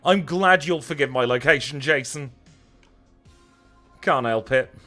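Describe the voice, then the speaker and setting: A man's voice: medium pitch, measured pace, bright, forceful tone, faint music behind. A man with a Lancashire accent chatting casually as he streams a video game, wry and self-deprecating. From Lancashire, northern England. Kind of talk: casual livestream chat over gameplay.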